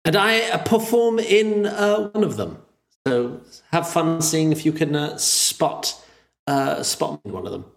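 A man's voice: fairly high pitch, big pitch swings, measured pace, gravelly. A man taking part in a casual podcast conversation. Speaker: podcast participant, performer. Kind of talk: casual chat.

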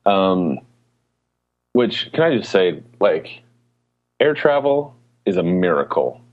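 Deep-voiced man chatting, slightly muffled. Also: very animated, takes it slowly. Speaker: podcast co-host. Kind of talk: casual chat about gaming and travel.

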